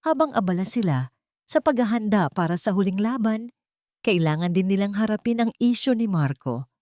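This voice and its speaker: A woman narrates a scripted dramatic story in a measured, earnest manner. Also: mid-range voice, animated, mellow, dry recording.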